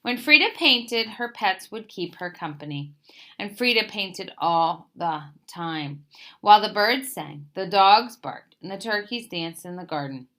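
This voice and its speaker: Bright, expressive woman reading a picture book. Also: medium pitch, unhurried. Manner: expressive, emphatic phrase openings that settle at sentence ends. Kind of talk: children's picture book read-aloud.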